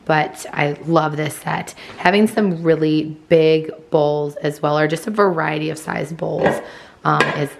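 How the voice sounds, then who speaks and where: A woman recording voice-over for her cooking vlog, hoarse from losing her voice, giving friendly step-by-step tips. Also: deep voice, fairly even intonation, unhurried. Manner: friendly instructional. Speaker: homemaking vlogger.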